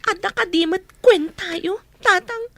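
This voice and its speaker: Very high voice, worried young eldest daughter, dutiful, radio drama. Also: female voice, a little slow, fairly bright tone, no room sound, full character voice. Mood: reproachful.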